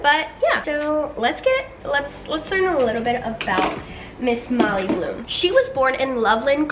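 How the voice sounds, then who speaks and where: Bubbly high-voiced woman, stuffy nose. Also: a bit bright, a little hiss or hum. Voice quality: nasal. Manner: chatty, upbeat, talks straight to viewers. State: congested from a head cold. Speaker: beauty and storytime vlogger. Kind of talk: casual get-ready-with-me storytelling.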